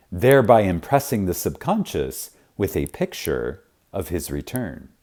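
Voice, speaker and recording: A man narrating a spiritual audiobook in a reverent, emphatic manner, with deliberate pauses. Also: deep voice, warm tone. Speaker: audiobook reader. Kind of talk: scripted narration of a spiritual self-help audiobook.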